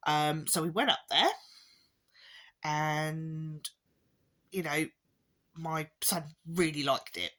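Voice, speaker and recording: A woman with a hoarse, fading voice from a chesty cough, giving a rambling, casual update to her viewers with wry little laughs. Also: low voice, slow pace, pauses often, fairly bright tone. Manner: rambling, with many ums and restarts, occasional wry laughs. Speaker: parent vlogger. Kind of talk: casual spoken update to camera.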